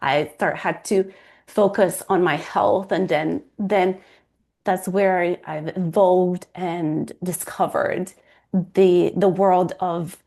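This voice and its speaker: A woman's voice: on the low side, fairly even intonation, takes it slowly, gravelly, darkish tone. Woman, 30s, Romanian accent, non-native speaker; sincere, reaches for words and restarts. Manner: earnest, searching for words, frequent restarts. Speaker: guest, fertility coach. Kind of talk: casual interview talk.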